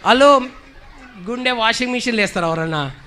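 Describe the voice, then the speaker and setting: A man's voice: extremely high pitch, lively intonation, brisk, sharp tone, slight background noise. A man acting as presenter and straight man in a Sunday school puppet dialogue, patiently questioning and correcting like a teacher.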